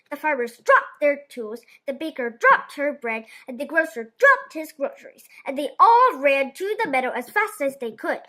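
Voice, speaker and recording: A woman's voice: sits high, bright, forceful tone. A woman storyteller narrating a children's picture book expressively.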